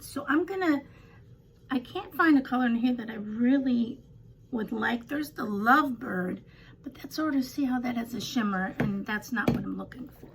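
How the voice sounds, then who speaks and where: An older woman with a slightly raspy voice, chatting casually through a home makeup tutorial with rambling, self-deprecating asides. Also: fairly high pitch, slight background noise, low-quality recording. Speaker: beauty vlogger.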